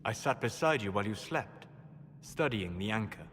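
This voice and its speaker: A reserved elven apostate mage with a lowish, soft and measured voice. His phrasing is formal and careful. Game audio with steady noise underneath. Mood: reflective.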